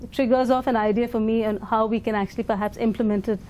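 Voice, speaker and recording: A woman's voice: fairly high pitch, fairly even intonation, quick pace, dark tone, slight background noise. An Indian woman leading an education foundation, answering interview questions thoughtfully with fillers and restarts.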